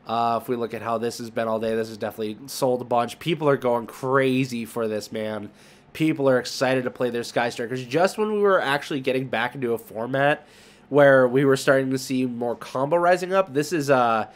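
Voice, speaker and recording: A man hosting an online video, giving casual off-the-cuff commentary and thinking aloud as he browses. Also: mid-range voice. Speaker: YouTube host.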